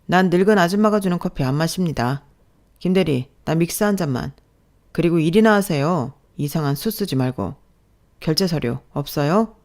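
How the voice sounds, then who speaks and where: A man's voice: on the high side, animated, normal speed. Woman acting out a barking, contemptuous male boss. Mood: dismissive, curt. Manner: barking, sarcastic, belittling. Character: abusive, contemptuous male department manager scolding an employee. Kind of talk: dramatized audio story dialogue.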